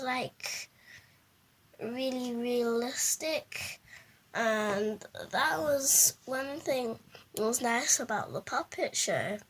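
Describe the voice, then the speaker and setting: A female speaker giving a casual testimonial in simple words, with a halting delivery. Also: a little high, fairly bright tone, breathy edge. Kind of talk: spoken testimonial, casual interview answers.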